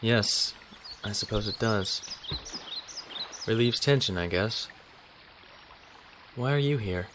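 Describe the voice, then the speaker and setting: A man's voice: fairly low pitch, slow pace, pauses often, very noisy. A man playing a conflicted, honorable young naval officer in a sci-fi audio drama, earnest and brooding. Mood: surprised, wry.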